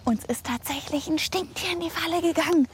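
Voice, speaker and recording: A woman animal reporter giving hushed, excited, whispery commentary on location for children. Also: very high voice, a little fast, a little hiss or hum.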